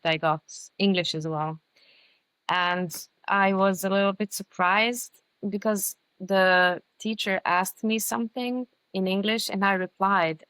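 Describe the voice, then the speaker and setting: A woman's voice: fairly low pitch, fairly bright tone, clean tone, dry recording, poor audio. A young woman with Hungarian and Serbian as her first languages, chatting thoughtfully as a podcast guest and often restarting words. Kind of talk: casual interview chat.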